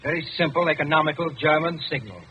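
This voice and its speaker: A man playing a shrewd, commanding colonial governor in old-time radio drama, speaking deliberately and sardonically. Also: fairly high pitch, close to an everyday voice. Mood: coolly triumphant. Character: shrewd, commanding British colonial governor, calmly exposing plotters. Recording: muffled vintage radio recording, music behind.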